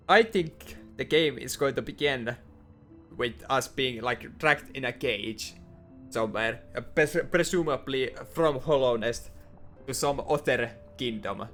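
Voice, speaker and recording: A man's voice: medium pitch, slow pace, fairly bright tone, faint music behind. Man musing hesitantly. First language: Finnish. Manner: hesitant, repeats and restarts words, thinks aloud. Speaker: gaming YouTuber. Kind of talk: lore-focused game commentary.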